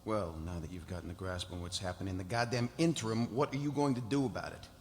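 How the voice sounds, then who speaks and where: A man's voice: low-pitched, quick pace. A man playing an angry, foul-mouthed, demanding corporate client in a live script read, blunt and combative. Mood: sarcastic, combative. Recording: echoey hall, noisy room sound.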